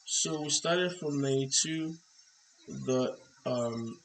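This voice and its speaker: A man presenting an unscripted hands-on electronics demonstration, explaining step by step in halting short phrases. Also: medium pitch, a bit bright, low-quality recording. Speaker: tutorial presenter. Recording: clear background noise.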